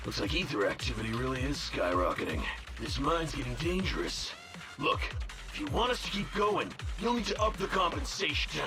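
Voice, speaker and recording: An actor playing a brash, money-minded mining gang boss in a video game, with a cocky, slangy, needling manner. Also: male voice, a little high, lively intonation, normal speed, music in the background. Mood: irritated, pushy. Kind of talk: English video game voice acting.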